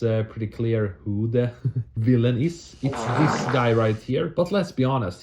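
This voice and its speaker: A man's voice: medium pitch, dark tone. A young man with a Swedish accent reads a movie commentary script, breaking off into self-mocking asides and laughing at his own jokes.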